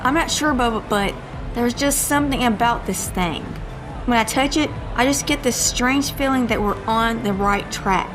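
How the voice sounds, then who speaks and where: Actress doing fed-up lady agent, higher voice, dry and sarcastic, music behind, audio comedy. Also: normal speed, close to an everyday voice. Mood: wry. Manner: dry, sarcastic, folksy scolding. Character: exasperated, sardonic woman agent, short on patience. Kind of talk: comedic audio drama acting.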